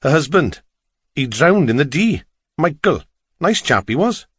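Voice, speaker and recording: A man's voice: on the high side, sing-song, a little slow, breathy edge, no room sound, clean studio sound, exaggerated voice. A man voicing a chatty, curious middle-aged taxi driver with a Welsh accent in an audiobook. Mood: grave, gossipy. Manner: chatty, lilting, inquisitive.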